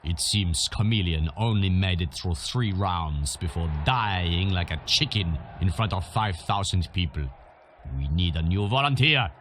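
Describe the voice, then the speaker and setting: An actor playing a gruff, hardened arena boss in a video game, bossy and blunt. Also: male voice, sits low, lively intonation, unhurried, fairly bright tone, a little hiss or hum. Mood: brisk, scornful. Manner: commanding, blunt. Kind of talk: video game character acting.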